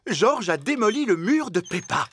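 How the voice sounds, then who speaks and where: An actor playing a cheerful narrator in a preschool cartoon, with expressive, declarative storytelling. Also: male voice, sits high, moderate pace, music in the background.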